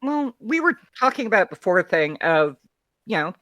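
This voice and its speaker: Bright-voiced Texan guest, candid. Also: medium pitch, sing-song, normal speed, no room sound. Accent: Texan, softened. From Texas. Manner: candid, thoughtful, opinionated. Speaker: guest, feed curator. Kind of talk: casual conversation.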